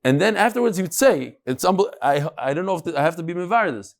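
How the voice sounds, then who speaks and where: A man teaching an informal religious class in a fairly high voice. His pitch swings widely as he talks, with emphatic, conversational delivery and frequent rhetorical questions. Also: lively intonation, quick pace, creaky. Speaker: rabbi giving a daily Talmud class. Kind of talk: informal Talmud lecture to a live class.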